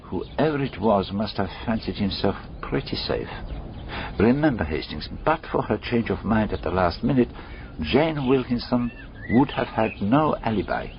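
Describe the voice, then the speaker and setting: An actor playing a shrewd, methodical foreign detective in a radio drama, deliberate and courteous, musing aloud in rhetorical questions. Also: male voice, lowish voice, big pitch swings. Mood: pondering, explaining. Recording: dull radio recording, clearly noisy background.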